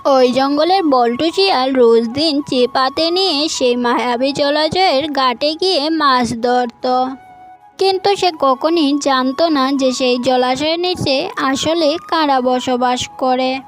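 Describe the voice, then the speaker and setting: A woman's voice: high voice, slow, soft background music. A woman reading scripted narration for a children's fairy-tale cartoon as the storyteller.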